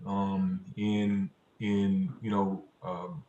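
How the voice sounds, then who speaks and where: Halting man in an echoey room. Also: deep voice, monotone, mellow, dull audio. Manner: halting, searching for words, repeating himself. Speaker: community organizer, panelist. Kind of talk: spontaneous panel answer. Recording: echoey room on video call.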